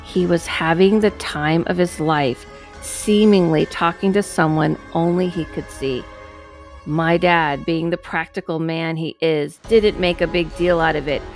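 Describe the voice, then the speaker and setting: Slow, low-voiced woman reading a ghost story. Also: fairly even intonation, faint music behind. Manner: slow, measured reading with asides. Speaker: host reading a guest's story. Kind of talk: reading a paranormal story aloud. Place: dim room indoors. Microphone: headset and studio microphone close up.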